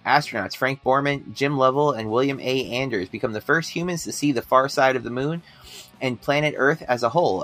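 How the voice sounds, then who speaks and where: A man co-hosting a casual film podcast, relaxed and conversational, with frequent ums and you-knows. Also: medium pitch. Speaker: podcast co-host.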